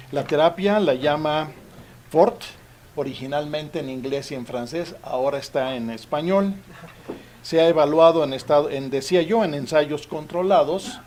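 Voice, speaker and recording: A man speaks as the host of an academic event, formally introducing a guest. His pitch is mid-range, and there is some noise in the auditorium recording around him. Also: darkish tone. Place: university auditorium.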